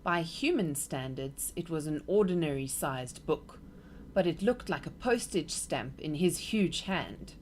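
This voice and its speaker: A woman reading a children's book aloud for her nieces, in an expressive storytelling manner. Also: deep voice, unhurried, a little hiss or hum.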